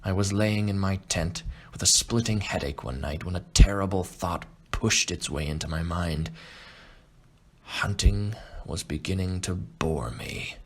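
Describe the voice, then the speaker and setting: A man playing a refined, boastful aging Russian aristocrat and hunter in an audiobook, speaking in a measured, pompous way. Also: sits low, slightly rough, full character voice. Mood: wistful, proud. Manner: pompous, measured, self-satisfied. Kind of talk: audiobook character acting.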